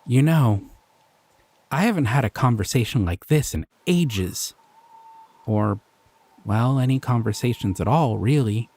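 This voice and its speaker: A man voicing a shy, gentle male slime creature in an audio roleplay, soft-spoken and hesitant, often trailing off. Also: medium pitch, lively intonation, measured pace, pauses often, breathy edge. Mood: gentle, curious.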